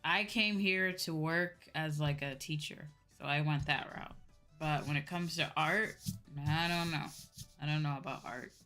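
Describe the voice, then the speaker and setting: A woman's voice: low voice, a little slow, pushed and bright. A woman streamer chatting casually and giving advice in a conversational way, often repeating herself for emphasis. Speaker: streamer host. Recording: background music. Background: music.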